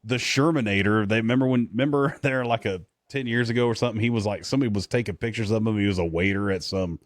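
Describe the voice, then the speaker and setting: A man's voice: fairly low pitch, fast, no room sound, clean studio sound. A man co-hosting a podcast in casual chat, blunt, sweary and rambling. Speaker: podcast co-host.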